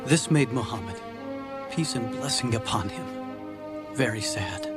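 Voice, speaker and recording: A man narrating an animated film in a solemn, reverent way. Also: medium pitch, a little slow. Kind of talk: scripted narration. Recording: narration over loud film music.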